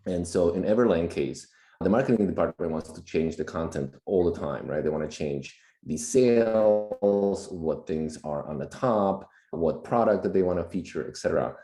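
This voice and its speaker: A man with a slightly raspy voice from a recent cold, explaining things plainly and casually as a podcast guest. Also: sits low, normal speed, warm tone. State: just getting over a cold. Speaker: podcast guest, tech executive. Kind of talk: casual explanatory tech talk. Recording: roomy, echoey sound.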